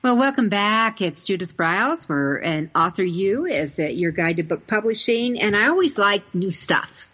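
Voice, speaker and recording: A woman's voice: medium pitch, sing-song, normal speed, few pauses, pushed and bright. A woman hosting a podcast conversationally, emphatic and repeating her points, on a dull recording. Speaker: podcast host. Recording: dull, muffled lo-fi audio.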